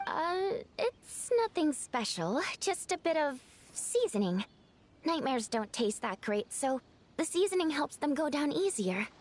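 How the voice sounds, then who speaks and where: A woman's voice: high voice, big pitch swings, measured pace. A woman voicing a small, round, helpful dream-eating creature in a video game, speaking earnestly and politely as she explains things. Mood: modest, friendly. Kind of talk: scripted video game dialogue.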